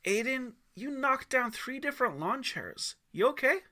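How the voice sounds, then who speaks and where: A man's voice: extremely high pitch, sing-song, normal speed, pushed and bright, voice pushed up, heavily acted. A man voicing an amused, mildly chiding but caring dad in a storybook tale. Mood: amused scolding. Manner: teasing, parental.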